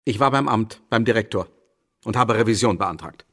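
An actor playing a proud, indignant man who speaks emphatically and haughtily in a radio drama, his voice husky. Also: lowish voice, moderate pace, fairly bright tone, slight background noise. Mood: defiant. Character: proud, indignant Austrian man defying officials.

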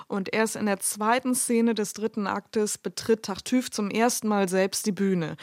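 A woman's voice: medium pitch, darkish tone, dry recording. Emphatic female expert, dry recording. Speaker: expert commentator. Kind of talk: interview explanation of literary history.

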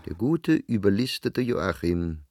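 An actor as an urbane literary narrator in a radio drama, measured and dryly ironic. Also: male voice, fairly low pitch, big pitch swings, darkish tone, dry recording, studio quality.

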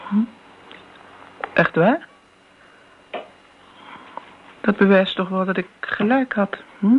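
An actress playing a genteel, self-righteous elderly woman, reminiscing and gently chiding in radio drama style. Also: mid-range voice, measured pace, lightly acted. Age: older. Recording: muffled old radio play, background noise.

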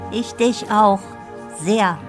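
An actress playing a dreamy, lovelorn woman in a radio drama, husky and gravelly, speaking in a deliberate, poetic way. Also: mid-range voice, over music. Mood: tender. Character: dreamy, lovelorn woman living in her own fantasy.

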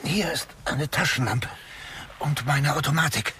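A man's voice: on the high side, unhurried, noisy background, natural voice. A male actor in a German science fiction radio drama, playing a cynical, condescending and cowardly survivor with a formal way of talking. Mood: tense, commanding. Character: cynical, lecturing man, cowardly survivor of a future catastrophe.

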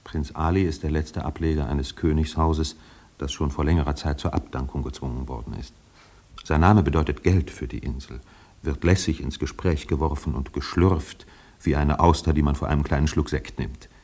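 A man narrating a literary radio play in a measured, precise, faintly ironic manner. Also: rumbling low, steady tone, darkish tone.

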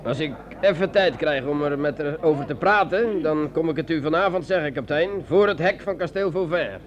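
An actor playing a brave, sceptical sergeant in a Dutch radio drama, hesitant and repeating himself when pressed. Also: male voice, fairly high pitch, brisk, lo-fi sound, natural voice. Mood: defiant, cool.

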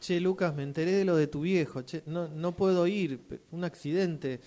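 Un hombre con acento argentino que interpreta en un radioteatro a un amigo preocupado, informal y algo titubeante. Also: male voice, on the high side, warm tone, close to an everyday voice. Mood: sympathetic. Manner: informal, halting. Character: concerned male friend offering condolences and asking questions. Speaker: radio drama workshop participant. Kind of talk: rehearsed radio drama acting.

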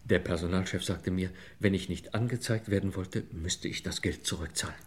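A man playing a desperate clerk in his sixties in a mid-century German radio crime drama, halting, pleading and apologetic. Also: deep voice, lightly acted. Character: desperate, pleading 62-year-old male clerk confessing embezzlement.

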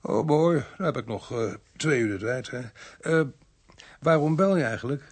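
A man's voice: mid-range voice, lively intonation, moderate pace, slightly rough, no echo. An actor playing a weary, compassionate male police inspector in a radio drama, both speaking and narrating in the first person. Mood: drowsy, then concerned. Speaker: actor in the lead and narrating role.

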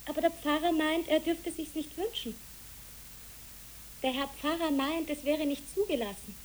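A woman playing an earnest, careful child in a German radio play, measured and questioning. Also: sits high, lightly acted, poor audio. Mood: pleading. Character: earnest, careful child, the more discreet companion. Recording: hissy old radio play recording.

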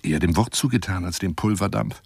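An actor playing a garrulous, wooing lion hunter in a radio play monologue, theatrical and coaxing, rambling between exclamations and whispers in a husky, gravelly voice. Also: male voice, low-pitched, quick pace, dry recording, studio quality, full character voice. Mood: poetic, wistful. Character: garrulous, self-styled lion hunter wooing a woman by telephone.

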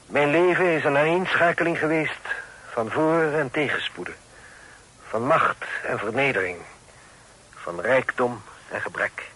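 A man's voice: mid-range voice, a little slow, bright, forceful tone, breathy edge. An actor playing a courteous, worried middle-aged father who speaks solemnly and formally in an old radio drama. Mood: solemn, grateful. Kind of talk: vintage Dutch radio drama acting. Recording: noisy old radio play recording.

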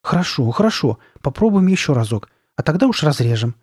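A man's voice: a little high, big pitch swings, brisk, a little mellow, husky, no room sound, clean studio sound, pitched above the performer's own voice. A man voicing a worried, careful girl who coaxes and soothes with growing unease, in a horror storybook. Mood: careful, thinking aloud. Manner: coaxing, puzzled, growing fearful. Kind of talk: dramatised horror storybook reading.

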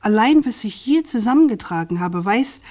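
An actress playing an earnest, persistent journalist in a radio drama, speaking in an articulate, deliberate, insistent way. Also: female voice, on the high side, expressive, a little mellow. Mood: insistent, challenging. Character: earnest, persistent woman journalist challenging an official.

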